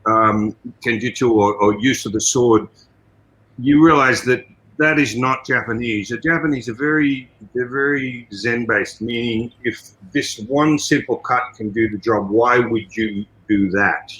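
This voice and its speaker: Bright-voiced older Australian man. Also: medium pitch, unhurried, low-quality recording. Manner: animated, expansive storyteller. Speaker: guest, martial arts trainer. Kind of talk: podcast interview.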